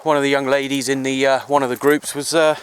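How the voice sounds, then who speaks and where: A man's voice: mid-range voice, flat delivery, fast, clear, resonant tone. A man chatting casually and in a rambling, easygoing way for an outdoor hobby vlog, recorded on a handheld camera in the countryside. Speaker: amateur CB radio hobbyist vlogger.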